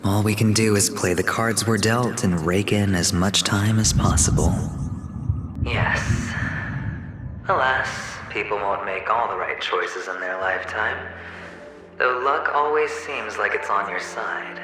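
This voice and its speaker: A man acting a slow, echoing, theatrical gambler. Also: on the low side, natural voice. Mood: mocking. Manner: theatrical, deliberate, with sighs and dramatic pauses. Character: smooth, theatrical, world-weary young male gambler and his mocking double. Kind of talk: dramatic video game acting. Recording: heavy echo, long reverb, music underneath. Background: music.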